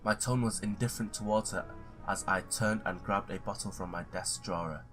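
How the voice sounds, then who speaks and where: A man's voice: on the low side, fairly quick, fairly bright tone, faint music behind. A man doing a let's play, reading story text aloud with informal asides and stumbling now and then over the words. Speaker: gamer reading a visual novel aloud.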